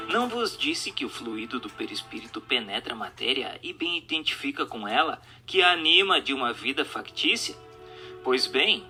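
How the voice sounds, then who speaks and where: Man playing an animated, wise spirit teacher, bright-voiced. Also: medium pitch. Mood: patient, instructive. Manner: solemn, didactic, formal archaic address. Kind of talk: audio drama dialogue. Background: music.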